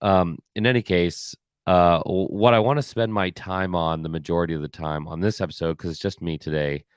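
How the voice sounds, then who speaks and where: A man hosting a podcast alone, slightly hoarse and raspy, talking casually with many fillers and restarts. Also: sits low, fairly even intonation, a little fast, dry recording, clean studio sound. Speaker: podcast host. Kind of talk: solo casual year-in-review talk.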